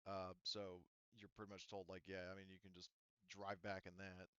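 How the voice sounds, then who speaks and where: A man with a lowish, smooth voice improvises as a tabletop game master. He pauses and gropes for words as he lays out the scene. The sound is dry and slightly dull. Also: completely flat delivery, a bit bright, no room sound. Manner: hesitant, halting, thinking aloud. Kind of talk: casual improvised narration of a tabletop roleplaying game.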